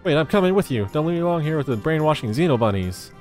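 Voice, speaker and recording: A man voicing an eager, excitable and naive video game sidekick in a chirpy, earnest way. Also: fairly high pitch, quick pace, faint music behind, voice pushed up. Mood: anxious.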